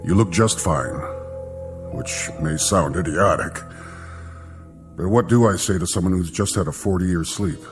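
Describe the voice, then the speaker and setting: An actor playing a grave, compassionate space-program official in a formal, measured vintage television drama manner. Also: male voice, low voice. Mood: gentle, formal. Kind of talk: mid-century television drama acting. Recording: vintage soundtrack with loud music behind. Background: dramatic music.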